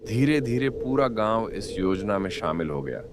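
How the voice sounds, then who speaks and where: A man narrating a moral story in a slow, expressive, dramatic way. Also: on the low side, background noise. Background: loud background music.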